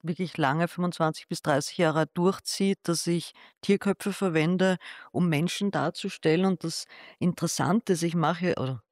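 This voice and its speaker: An artist talks through his pictures slowly, circling back and restarting as he looks for words. His voice sits a bit high for a man, and the sound is dry and close, with no room around it. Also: dry recording. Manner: thoughtful, hesitant, with restarts. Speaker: artist showing his exhibition. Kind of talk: gallery interview.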